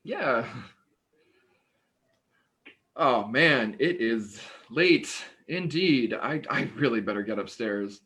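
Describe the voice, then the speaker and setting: An actor playing an awkward, polite young hospital worker who hesitates and stammers in a naturalistic play reading. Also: male voice, medium pitch, expressive, takes it slowly, fairly bright tone. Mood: friendly, awkward. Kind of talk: naturalistic stage dialogue reading.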